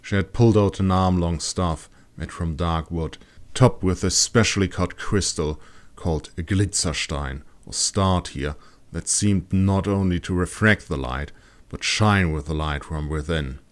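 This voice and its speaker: A man reading scripted fantasy audiobook narration in a dramatic, deliberate storytelling manner. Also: low voice.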